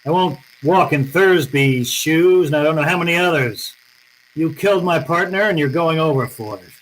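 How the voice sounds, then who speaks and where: A man's voice: a little high, moderate pace, a bit bright, poor audio. A man playing a cynical, unyielding private detective in an old-time radio drama, with a terse, tough, accusing manner. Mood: bitter, resolute.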